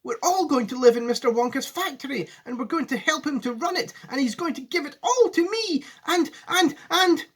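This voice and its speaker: An excitable young boy from a storybook, played by a man reading aloud. He speaks in eager exclamations, high and very animated, with a good-hearted manner. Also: moderate pace, few pauses. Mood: excited.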